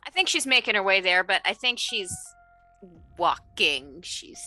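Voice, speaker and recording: A woman's voice: mid-range voice, animated, a little slow, pushed and bright, soft background music. A woman playing a tabletop game, talking casually and wryly about a character.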